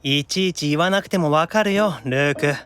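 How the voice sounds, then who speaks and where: A man acting as a sulky, teasing young male demon who grumbles and retorts quickly, in an anime-style audio drama. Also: a little high, fairly bright tone, smooth, no echo, soft background music, pitched below the performer's own voice, close to an everyday voice. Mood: exasperated.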